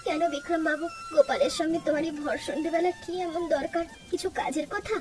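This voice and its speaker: A woman's voice: very high voice, quick pace, recorded from a distance, slight background noise. A woman voicing a friendly, wistful, ailing young village wife with a plaintive manner in a cartoon. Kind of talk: Bengali cartoon dialogue.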